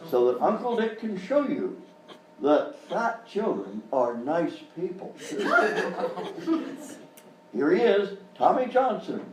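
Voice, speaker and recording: An actor playing a falsely cheerful, patronizing children's TV host in a live comedy parody. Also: male voice, high voice, a little mellow, lo-fi sound, exaggerated voice. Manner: exaggerated jolly, patronizing. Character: forced-cheerful grown-up male children's TV show host. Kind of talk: parody of early local kids' TV, live sketch acting. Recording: roomy live stage, distant mic, faint noise.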